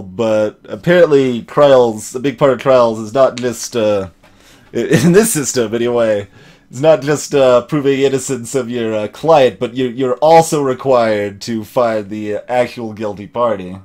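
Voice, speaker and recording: A man's voice: mid-range voice, darkish tone. Man rambling through casual gaming commentary. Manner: halting, rambling, amused. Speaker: let's play host. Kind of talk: casual gaming commentary with voiced dialogue reading.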